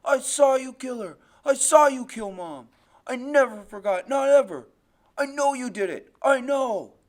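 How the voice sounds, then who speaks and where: A man's voice: sits high, sing-song, a little fast. A man voicing a desperate, frightened boy in an audiobook, pleading and accusing in raised outbursts. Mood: screaming accusation. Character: desperate, frightened boy confronting his murderous father. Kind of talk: audiobook character voice.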